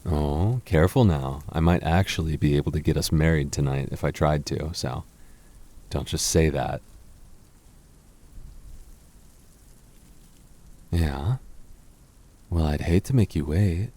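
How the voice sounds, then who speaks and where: A man playing a devoted young fantasy prince in a romantic roleplay, speaking tenderly and haltingly with gentle teasing. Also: extremely low pitch. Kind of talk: romantic boyfriend roleplay ASMR.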